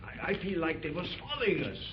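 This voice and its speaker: A man's voice: a little high, very animated, fast talker, slight background noise. An actor playing a nervous, superstitious male expedition member who stammers, in a 1930s radio serial, on a dull old recording. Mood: uneasy.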